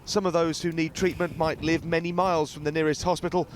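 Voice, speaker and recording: A man's voice: on the high side, flat delivery. Male news reporter, formal read, outdoor noise. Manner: measured, formal delivery.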